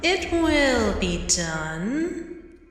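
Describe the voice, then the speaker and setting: A woman's voice: a little high, sing-song, drawn-out words, exaggerated voice. A woman voicing a cold, vengeful goddess of chaos in an audio drama, with an imperious, menacing delivery. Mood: cold, ominous. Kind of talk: fan audio drama acting. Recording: long echoing reverb on the voice.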